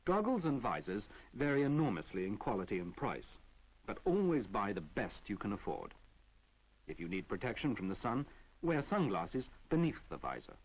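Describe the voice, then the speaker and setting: Low-voiced British male instructional narrator. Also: wildly swooping pitch, breathy edge, low-quality recording. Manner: measured, didactic delivery. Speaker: training film narrator. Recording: 1970s film soundtrack.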